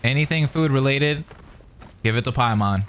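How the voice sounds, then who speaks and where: Bright-voiced man reacting to a game. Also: mid-range voice, moderate pace, a little hiss or hum. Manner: short offhand interjections. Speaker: game commentator. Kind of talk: casual live game reaction commentary.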